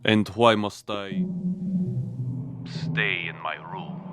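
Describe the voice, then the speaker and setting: Weary soviet major on the run, radio drama, real low voice, short suspicious answers. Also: male voice, drawn-out words, over music. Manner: curt, guarded, suspicious. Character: wary, weary fugitive Soviet army major, curt and guarded.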